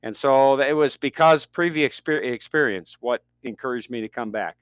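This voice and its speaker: Older american guy talking about teaching languages, smooth resonant voice, dry sound. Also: medium pitch, normal speed, no room sound. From United States. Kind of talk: interview conversation.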